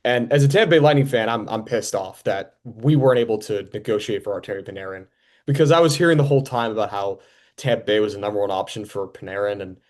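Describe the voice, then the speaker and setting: A man's voice: lowish voice, fast talker. A young man hosting a podcast, talking sports in a casual, conversational way.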